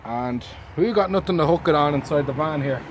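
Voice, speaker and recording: A man in his thirties with a slightly high voice explains things casually as he works. He is recorded outdoors on a handheld camera, with faint noise behind him. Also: a little fast, dull audio. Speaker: friend helping with a DIY job. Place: outdoors on a driveway by a van. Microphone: camera held by another person nearby.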